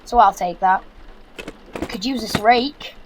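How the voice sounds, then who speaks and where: An earnest young British son in a zombie audio drama , acted by a young man. He is practical and bossy, instructing in a light, high voice. There is faint background noise. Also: slow. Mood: brisk, practical. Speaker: actor.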